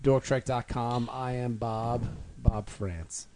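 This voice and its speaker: A man's voice: mid-range voice, fairly even intonation, slow pace, dark tone, a little hiss or hum. A man hosting a casual podcast chat, joking and teasing his co-hosts. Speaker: podcast host.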